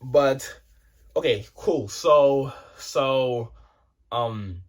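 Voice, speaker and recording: A man's voice: medium pitch, very slow. A man in his twenties talking casually to his viewers, rambling and cursing freely with lots of restarts and fillers. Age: 20s. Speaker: vlogger. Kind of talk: casual rambling monologue to camera.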